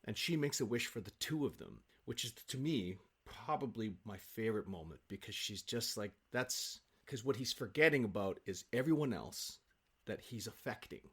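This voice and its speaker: A man with a fairly low-pitched voice talks casually as a guest. He tells stories with enthusiasm and animation, often restarting a thought as he goes. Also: lively intonation, moderate pace, fairly bright tone, slightly rough. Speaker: interview guest, actor.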